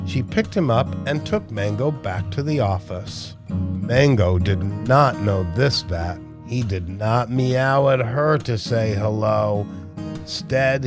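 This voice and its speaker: A man reading a children's picture book aloud in a measured, expressive storytelling style. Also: on the low side. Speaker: storybook narrator. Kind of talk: children's read-aloud narration. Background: music with plucked guitar.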